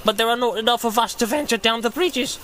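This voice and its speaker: A man's voice: very high-pitched, quick pace, pitched above the performer's own voice. A man voicing a vicious, quarrelsome orc underling with a hissing snarl for a fantasy audiobook. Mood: defiant. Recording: loud soundscape music behind.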